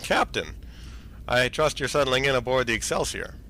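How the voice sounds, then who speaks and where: A man's voice: medium pitch, normal speed, close to an everyday voice. A man playing a senior space fleet admiral in a science fiction audio drama, briefing in a formal, authoritative manner. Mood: formal, matter-of-fact. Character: commanding senior space fleet admiral, brisk and matter-of-fact. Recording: steady background ambient noise.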